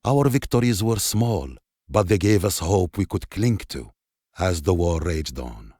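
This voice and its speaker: Deep, solemn Allied war veteran. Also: male voice, animated, measured pace, darkish tone, dry recording, exaggerated voice. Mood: solemn, reflective. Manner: solemn, reflective storytelling. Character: grave Allied war veteran recounting desert campaign. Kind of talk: scripted cinematic narration.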